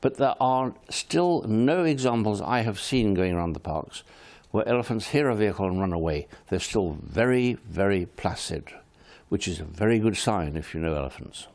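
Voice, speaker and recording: Gravelly man. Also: fairly low pitch, lively intonation, darkish tone. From Kenya. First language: Swahili. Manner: frank, firm, measured statements. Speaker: interview guest, wildlife service head. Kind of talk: studio interview answers.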